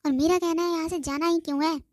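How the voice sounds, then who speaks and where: A woman's voice: very high-pitched, steady tone, quick pace, heavy background noise. A woman voicing an earnest, sentimental young daughter in a cartoon family drama, pleading and reproachful. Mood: hurt, reproachful. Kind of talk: amateur cartoon voice acting.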